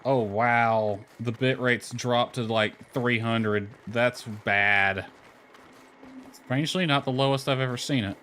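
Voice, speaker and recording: A man's voice: medium pitch, fairly even intonation, takes it slowly, a bit bright, a little hiss or hum. A man giving casual, rambling commentary on a game livestream, mildly grumbling as he goes. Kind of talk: casual gameplay stream commentary. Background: music.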